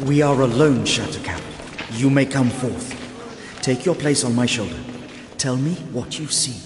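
An actor playing a proud, stern elf prince in an audio drama, speaking with formal, commanding gravity. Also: male voice, mid-range voice, normal speed, background noise, close to an everyday voice. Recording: echoing cavern reverb with music.

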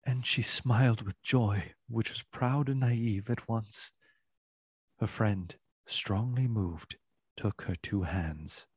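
An actor as the storyteller of a gothic radio play, narrating theatrically and deliberately in a gravelly, husky voice. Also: male voice, fairly low pitch, dry recording. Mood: solemn. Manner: deliberate, theatrical, savoring repeated words. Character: male storyteller of a gothic tale. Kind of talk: dramatic scripted radio narration.

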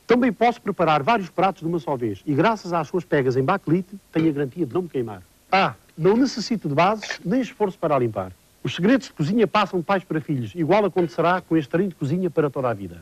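An actor playing an older home cook proudly recommending cookware in a scripted infomercial. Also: male voice, on the high side, sing-song, fast, breathy edge, no echo. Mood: proud, persuasive. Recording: dull lo-fi TV tape.

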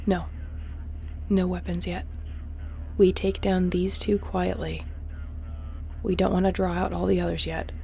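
A woman acts out a wanted female starship mercenary in an audio roleplay, with a very creaky, gravel-edged voice. She is terse, wry and commanding, yet protective. A faint ship noise sits behind her. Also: on the low side, flat delivery, measured pace, pauses often, warm tone. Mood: hushed, tactical. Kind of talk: intimate sci-fi audio roleplay acting.

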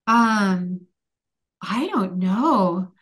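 A woman in her forties whose first language is Hungarian, answering interview questions thoughtfully over a video call from a room at home, with many pauses and restarts. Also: medium pitch, animated. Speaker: author guest.

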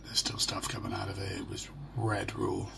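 A middle-aged British man talks casually to his camera in a low, heavily creaky, croaky voice. His delivery is halting and downbeat, like a personal diary. The home recording carries clear noise. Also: completely flat delivery. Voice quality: croaky, gravelly. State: hoarse. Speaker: vlogger. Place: small furnished room at home. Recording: noisy home phone or webcam recording.

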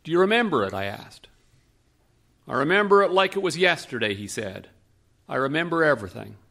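Man, middle-aged, stage storyteller, high pitch, bright tone, dramatic pauses, stand mic. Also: sing-song, vocal fry. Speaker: radio storyteller and host. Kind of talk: storytelling narration for an audience.

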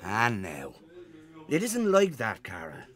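Exasperated dad, animated delivery. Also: male voice, lowish voice, slow, full character voice. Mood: pleading. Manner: exasperated, earnest. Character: Cara's stressed, pleading middle-aged father. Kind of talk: audio drama acting for children.

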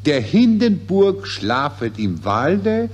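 An actor playing a proud, self-pleased amateur poet with an Austrian accent in a 1940s radio satire. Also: male voice, a little high, big pitch swings, slow pace, husky, heavily acted. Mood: proud, eager.

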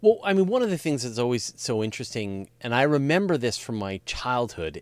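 A man's voice: lowish voice, no echo. A middle-aged man hosting an interview in an informal, curious, conversational way. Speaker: interview host. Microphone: close desk microphone, video call.

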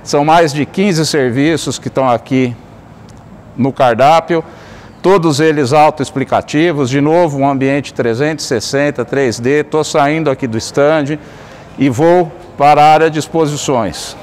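A middle-aged man with a slightly raised pitch explains a touchscreen platform step by step, in a steady, explanatory tone. He narrates each click as he goes. A faint hum of background noise sits behind him. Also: measured pace. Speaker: company executive demonstrating a digital fair. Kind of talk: product walkthrough. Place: large indoor store.

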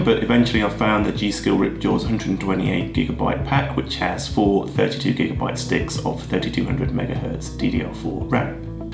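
A man, husky from a head cold, walking through his tech choices in a steady, methodical way. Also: on the low side. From Australia. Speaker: video creator. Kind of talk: scripted tech vlog explanation. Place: plain room indoors, seated at a desk. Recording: roomy echo with music behind.

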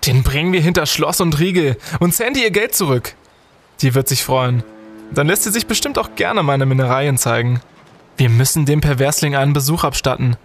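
A man acting a young student detective who leads his gang, pompous and bookish. His voice sits a little high for a man, in radio-play style. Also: a little fast, faint music behind, natural voice. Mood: eager, indignant. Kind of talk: audio-drama acting.

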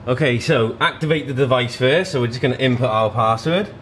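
A man in his thirties demonstrates a product in an informal, step-by-step way. His voice sits at an average pitch. There is audible room noise behind him in an indoor showroom. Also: fairly quick, a bit bright. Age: 30s. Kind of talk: hands-on tutorial narration.

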